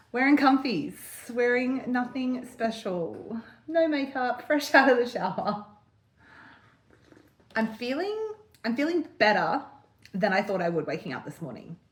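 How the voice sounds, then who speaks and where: A woman, chatting casually to camera in a lifestyle vlog, with lots of fillers and little laughs, and a slightly croaky voice from a cold. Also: a little high. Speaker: vlogger.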